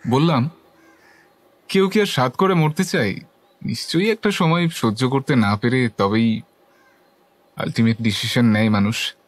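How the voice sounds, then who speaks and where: An actor playing a curious young club member who narrates a ghost story in the first person, with scripted dialogue. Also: male voice, mid-range voice, a little slow, pauses often, lightly acted. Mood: observant, curious.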